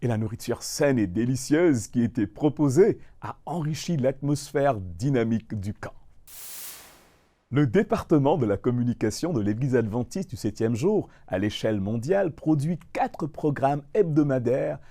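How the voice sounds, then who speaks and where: A man reading scripted news narration in French with a lively, varied delivery. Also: medium pitch, moderate pace.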